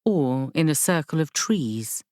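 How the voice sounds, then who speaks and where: Female reader as gentle, steadfast woman mentor in a fantasy novel: low voice, unhurried, calm and formal. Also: dry recording, studio quality. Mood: gentle, unwavering. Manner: calm, formal, patient explaining. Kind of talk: audiobook character dialogue.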